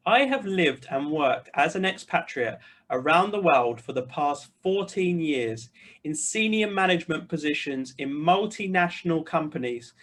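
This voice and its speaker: A man's voice: on the high side, animated, normal speed, fairly bright tone. A middle-aged man with a British accent, earnestly introducing himself and speaking directly to his listeners as a video host. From United Kingdom. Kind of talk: personal introduction to camera, semi-scripted.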